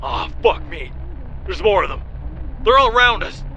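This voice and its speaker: A man voicing a terrified male deep-sea diver, yelling frantically over a noisy radio channel. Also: sing-song, normal speed, heavily acted. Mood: panicked. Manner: frantic, high-pitched yelling over comms.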